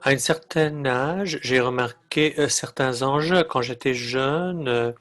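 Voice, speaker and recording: Slow, halting male interpreter. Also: medium pitch, fairly bright tone. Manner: halting, phrase-by-phrase delivery. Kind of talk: live interpretation of a webinar talk.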